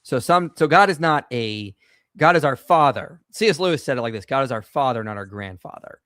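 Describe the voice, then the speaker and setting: A man's voice: medium pitch, animated, fairly quick, a bit bright, dry recording. Confident male call-in host, dry sound. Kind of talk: apologetics call-in discussion.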